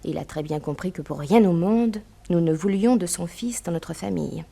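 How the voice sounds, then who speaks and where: A woman's voice: lowish voice, normal speed, vocal fry, warm tone, breathy edge. An actress playing an anxious, determined young businessman's daughter in a 1960s French radio drama, speaking earnestly and emphatically. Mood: indignant. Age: young adult. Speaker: actress in a detective radio serial.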